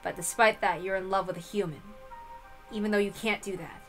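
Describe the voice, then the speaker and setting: A woman's voice: mid-range voice, steady tone, a little fast, a bit bright, soft background music, close to an everyday voice. A woman voicing a sullen, guarded teenage servant boy who is blunt and dismissive, in a visual novel reading. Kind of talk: visual novel read-aloud character voice.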